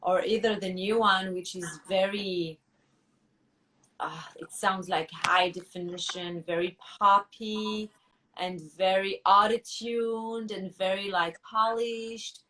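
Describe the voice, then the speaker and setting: A woman musician answering interview questions thoughtfully, pausing and restarting often as she searches for words. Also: mid-range voice, steady tone, sharp tone, recorded from a distance, slight background noise, rough recording. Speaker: musician guest.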